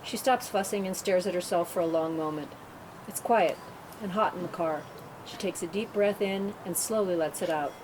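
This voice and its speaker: A woman's voice: a little mellow, low-quality recording. A woman narrating screenplay directions at a table read. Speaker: narrator reading stage directions. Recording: clear background rumble and room noise.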